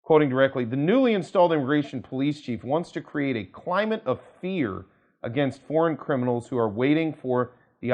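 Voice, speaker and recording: Man, American accent, mid-pitched voice, attorney presenter, news commentary, measured delivery, emphatic stresses. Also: muffled recording. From United States. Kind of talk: news commentary to camera, quoting articles.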